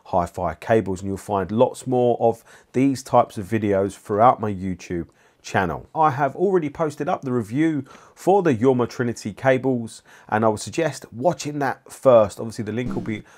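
A man's voice: mid-range voice, moderate pace, darkish tone. A man in his forties presenting a review video as host, speaking into a lapel mic in a small treated studio. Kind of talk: scripted-sounding video presenting to camera. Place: small indoor studio room with acoustic panels.

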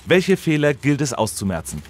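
An actor playing an earnest radio reporter in a mock news piece, deadpan, formal and precise. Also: male voice, mid-range voice, normal speed, slight background noise, lightly acted. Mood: earnest, inquiring. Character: earnest male radio reporter and chronicler, straight-faced, factual.

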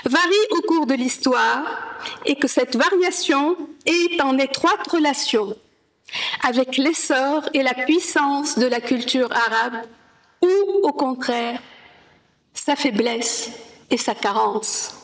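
A woman presenting an academic paper at a conference, reading in a slow, measured way with careful pauses. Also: high-pitched, rough recording. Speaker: conference speaker. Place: conference hall.

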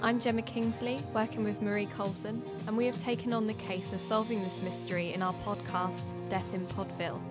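An actress plays an earnest female investigative podcaster in an audio drama. She is polite and measured, with a mid-pitched voice and music behind her. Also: flat delivery, natural voice. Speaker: podcast host and narrator. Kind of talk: scripted audio drama narration.

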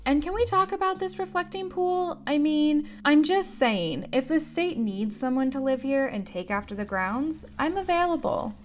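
A woman's voice: high voice, lively intonation, moderate pace, few pauses. Gushing young woman narrating a vlog. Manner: enthusiastic, gushing. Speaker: vlogger. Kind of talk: voice-over narration of a travel and craft vlog.

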